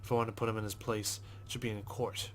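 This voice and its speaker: A man reading visual novel text aloud as a let's player, in a measured, understated storytelling manner with brief asides. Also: fairly low pitch, little pitch movement, a little hiss or hum. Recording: music behind the voice. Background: game music.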